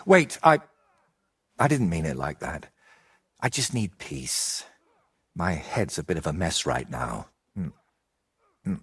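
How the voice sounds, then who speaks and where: Fussy secretive medieval painter, polite, earnest, lowish raspy voice, lively pitch. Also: male voice, slow pace, pauses often, exaggerated voice. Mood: flustered. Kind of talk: dramatic game dialogue acting.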